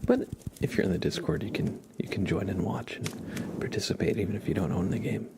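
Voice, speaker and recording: A man hosting an ASMR livestream, talking to viewers in a soft, hushed, gentle and halting way. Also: low voice, creaky, noisy background. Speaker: live streamer, ASMR host.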